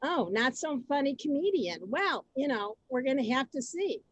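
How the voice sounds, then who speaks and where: A woman hosting a community reader's theater on a video call, halting and flustered, often repeating herself. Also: high voice, very animated, dry recording, lo-fi sound. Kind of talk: semi-scripted moderating.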